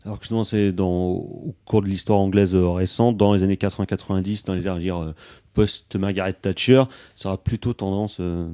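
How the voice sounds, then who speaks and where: A man with a low, mellow voice, chatting on a podcast and hesitating often between phrases. His speech is full of fillers. The sound is dry and up close. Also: steady tone, brisk, dry recording. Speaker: podcast co-host. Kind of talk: casual film chat.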